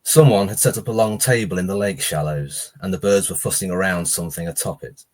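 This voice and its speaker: A man with a very low voice reads horror fiction aloud as its author. His tone has a husky, roughened edge. The delivery is that of a live short-story reading. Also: a little fast, a little mellow.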